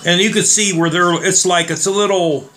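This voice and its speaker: Slightly hoarse man demoing trigger locks. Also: high-pitched, steady tone, normal speed, sharp tone. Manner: rambling, plain-spoken, addresses viewers as guys. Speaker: gun reviewer. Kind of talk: casual demo vlog.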